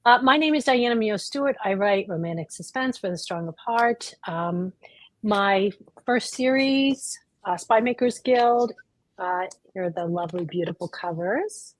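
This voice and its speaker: A woman's voice: medium pitch, expressive, moderate pace, dry recording, low-quality recording. A woman hosting a livestream book chat as an author, talking casually over a video call. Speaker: author and book club host.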